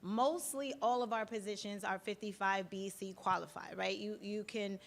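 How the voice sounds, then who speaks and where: A woman's voice: mid-range voice, fairly even intonation, normal speed, vocal fry. Woman presenter walking through a website. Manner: explanatory, checks in with "right?". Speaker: workshop presenter.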